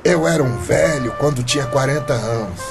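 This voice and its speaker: A man's voice: medium pitch, moderate pace, gravelly, over music, lightly acted. An actor with a Brazilian accent playing an earnest, stubborn, lovestruck eighty-year-old man in a radio drama. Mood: earnest, resolute.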